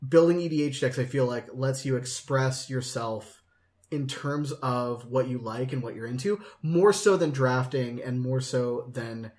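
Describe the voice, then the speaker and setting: Male podcast co-host, mid-pitched voice, casual and enthusiastic with a self-deprecating streak. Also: measured pace, a little mellow. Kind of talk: casual hobby podcast chat.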